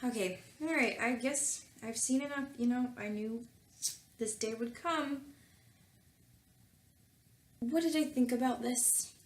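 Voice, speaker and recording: A woman's voice: on the high side, takes it slowly, long pauses. A woman reading fan fiction aloud and commenting on it casually, with a tongue-in-cheek, mock-earnest manner. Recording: roomy echo, music behind.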